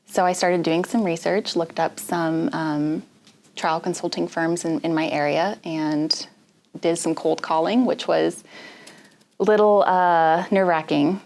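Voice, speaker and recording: A young woman from rural Kansas tells the story of landing her internship. Her voice sits very low with a gravelly edge, and her chatty account is dotted with ums. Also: a little mellow. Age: young adult. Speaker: graduate student intern. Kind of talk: interview testimonial.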